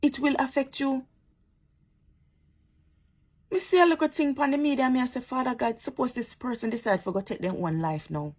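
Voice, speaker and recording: A woman's voice: high voice, moderate pace, long pauses, darkish tone. A woman with a Jamaican accent giving impassioned, preachy commentary to her social media viewers, repeating her points and turning sarcastic.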